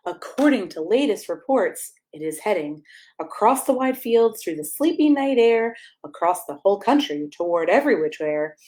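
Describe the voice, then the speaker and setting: A woman's voice: a little high, big pitch swings, measured pace, warm tone. A woman reads a rhyming picture book expressively to children, playfully speaking to her young listeners as she goes. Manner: playful, addressing young listeners directly with questions. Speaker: pastor reading a bedtime story.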